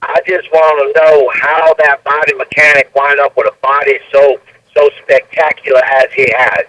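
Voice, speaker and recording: A playful man from Texas calls in over a thin phone line, his voice bright and a little high. He jokes along in an easy drawl. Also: level tone, normal speed. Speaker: caller.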